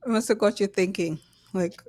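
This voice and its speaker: A woman's voice: mid-range voice, a little fast, a little mellow, no room sound. A woman co-hosting a podcast who talks through her thoughts in casual chat, with hedges and affirming murmurs. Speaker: podcast co-host.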